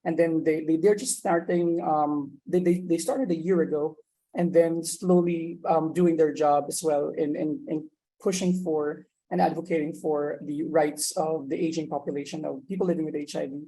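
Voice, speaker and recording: A man's voice: on the high side, steady tone, moderate pace, dark tone, rough recording. A man from the Philippines speaking hesitantly, with many restarts, in an online meeting. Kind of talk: video call meeting discussion.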